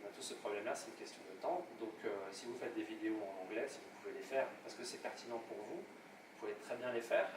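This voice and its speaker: Fast-talking man in echoey room. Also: lowish voice. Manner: rapid, hesitant, many restarts. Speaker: workshop speaker on LinkedIn. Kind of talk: live workshop talk. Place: meeting room at a club. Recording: echoey room, distant mic, noisy.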